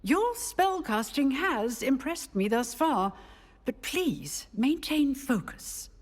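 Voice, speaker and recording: Older female magic professor, stern, measured, wry, lively intonation, video game, long hall reverb. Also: high voice, exaggerated voice. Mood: stern, instructive. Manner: measured, stern, dryly witty. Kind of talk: scripted video game dialogue.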